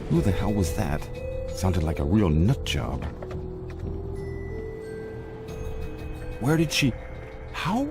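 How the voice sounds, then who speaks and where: A man's voice: sits low, very animated, a little slow, music in the background, heavily acted. An actor playing a weary, dry and downbeat male psychiatrist in a video game cutscene, with a tired, understated delivery. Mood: irritated, puzzled.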